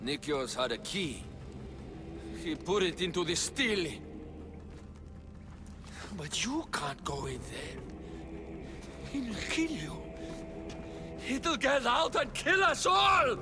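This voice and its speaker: An actor playing a deranged man hiding in filth, with a gravelly, unhinged way of shouting in a game cutscene. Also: high voice, very animated, slow pace, pauses often, background noise, exaggerated voice. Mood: frantic. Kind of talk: video game cutscene acting.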